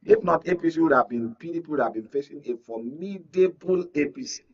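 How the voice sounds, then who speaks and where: Male Nigerian pundit, explanatory and checking he is understood, slightly rough voice, dull distant recording. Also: a little high, expressive, fairly quick, a little mellow. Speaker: media analyst, studio or call-in guest. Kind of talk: political analysis in live discussion. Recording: muffled, distant from mic.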